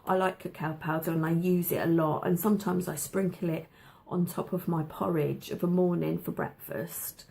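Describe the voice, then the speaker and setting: A woman chatting casually to camera at home, with a slight cough and a dry throat, pausing often with ums and repeating words for emphasis. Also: lowish voice, steady tone, gravelly, mellow. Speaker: vlogger showing a food haul.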